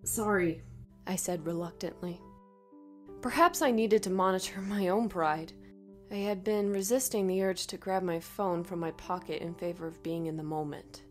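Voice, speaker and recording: A woman narrating a scripted first-person horror story in a dramatic, expressive way. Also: fairly low pitch, normal speed, faint music behind.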